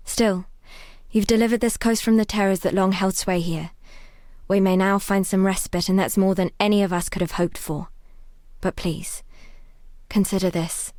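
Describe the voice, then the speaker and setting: Actress as a solemn, weary young healer, dry recording. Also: female voice, medium pitch, a little mellow, no echo, natural voice. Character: devout, weary young woman healer, exiled on a grim coast. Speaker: non-player quest giver. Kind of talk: dramatic fantasy game dialogue.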